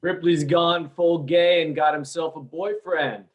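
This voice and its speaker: A man's voice: a little high, steady tone, measured pace, natural voice. A man playing a cocky, taunting sixteen-year-old bully at a screenplay table read. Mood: mocking.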